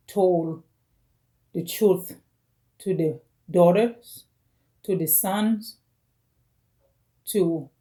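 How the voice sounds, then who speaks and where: Slow-speaking woman in her forties. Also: fairly low pitch, dark tone. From Caribbean. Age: 40s. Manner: slow, halting, phrase by phrase. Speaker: vlogger. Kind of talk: personal video message.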